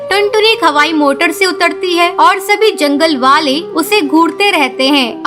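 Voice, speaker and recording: A woman narrating a scripted cartoon story. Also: very high voice.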